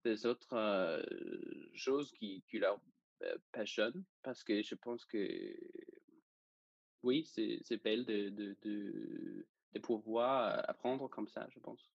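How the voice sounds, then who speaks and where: A young man from Denmark speaks French with a Danish accent, slowly and haltingly, searching for his words as an interview guest. The recording is dry and a little dull. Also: on the low side, vocal fry, breathy edge, no echo. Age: young adult. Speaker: interview guest, journalism student. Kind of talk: casual interview.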